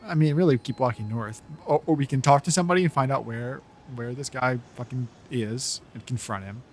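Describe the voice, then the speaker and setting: A man's voice: mid-range voice, brisk. Man, tabletop role-player, casual improvised talk, light noise in the background. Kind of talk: casual improvised game chat.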